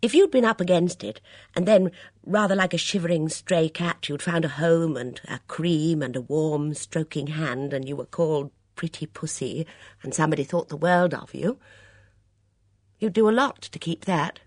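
A woman voicing a lively, blunt English vicar's wife in an audiobook, eager, affectionate and emphatic. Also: deep voice, normal speed, no echo, studio quality, voice pushed up. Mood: earnest.

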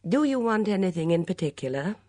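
A woman's voice: low-pitched, big pitch swings, normal speed, a little mellow, husky, no echo, clean studio sound, voice pushed up, heavily acted. A woman voicing a cool, reserved young woman in a story, clipped and dismissive.

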